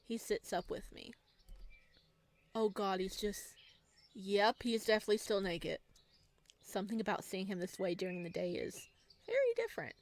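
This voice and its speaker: A woman reading a visual novel aloud as a let's player, casual and expressive, with jokey commentary. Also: mid-range voice, normal speed, long pauses.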